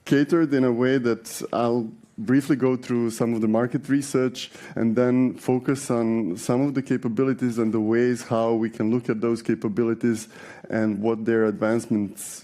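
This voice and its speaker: A man speaks from a conference stage in a low, mellow voice. He talks in an easygoing, conversational way, pausing on fillers and restarting phrases as he goes. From Serbia. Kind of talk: conference keynote talk. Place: large conference hall.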